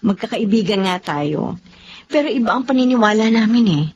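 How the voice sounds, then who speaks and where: A woman's voice: fairly high pitch, animated, a little fast, a little mellow. Woman playing a principled doctor, muffled recording. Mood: firm, earnest. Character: principled, gentle woman doctor, devoted to serving others. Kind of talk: Filipino drama acting. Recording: muffled lo-fi drama recording with background noise.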